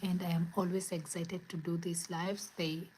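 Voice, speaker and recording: A woman from Kenya hosting a spiritual livestream from home, speaking in gentle, repetitive affirmations. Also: on the low side, flat delivery, moderate pace, slight background noise, low-quality recording. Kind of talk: inspirational livestream talk. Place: home, where it is late at night.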